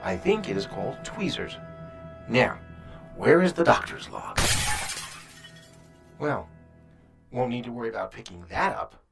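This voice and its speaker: Man playing a lively, wry starship doctor. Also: medium pitch, unhurried, gravelly, long pauses, music in the background, heavily acted. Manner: muttering, wry, expressive. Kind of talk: sci-fi audio drama acting.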